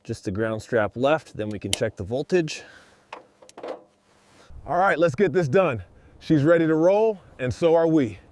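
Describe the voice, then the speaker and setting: A man's voice: a little high, expressive, a little slow, pauses often, a little mellow, slight background noise. A man working as a car restorer gives scripted commentary on the job.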